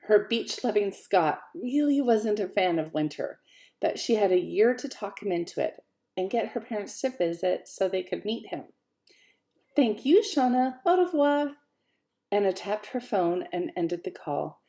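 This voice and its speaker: Woman with a gravelly voice. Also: mid-range voice, moderate pace. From Canada. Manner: expressive, lively storytelling. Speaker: author reading her own novella. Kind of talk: audiobook-style fiction reading.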